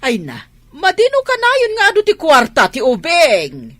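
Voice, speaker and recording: A woman's voice: high voice, huge pitch swings, moderate pace, dry recording. A woman playing an evasive, defensive grandmother in a radio drama, with an Ilocano accent. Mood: defensive, flustered. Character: evasive, defensive grandmother making flustered excuses.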